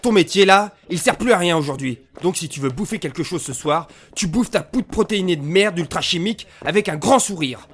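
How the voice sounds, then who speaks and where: A man's voice: high-pitched, expressive, a little fast, few pauses, a bit bright, husky, exaggerated voice. A man playing an irritable, cynical commercial director, brusque and sarcastic, in an audio comedy. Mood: scornful, bossy.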